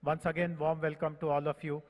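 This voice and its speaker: A man's voice: a little high, level tone, fairly quick. A man in his fifties with an Indian accent, giving a formal welcome address as an official at a podium. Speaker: government official speaker. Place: large conference hall, at podium. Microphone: podium gooseneck microphone. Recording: reverberant hall public address.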